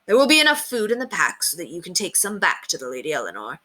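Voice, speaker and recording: A woman's voice: lowish voice, wildly swooping pitch, fast, bright, forceful tone, heavily acted. A woman voicing a noble, kindly old knight, hearty and commanding, in a storybook read-aloud. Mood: calm, kindly. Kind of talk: storybook character reading.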